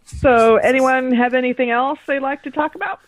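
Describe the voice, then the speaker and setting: A woman's voice: high voice, fairly even intonation, normal speed. A woman co-hosting a casual podcast chat, heard over a tinny call connection. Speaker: podcast co-host. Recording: tinny phone-like call audio.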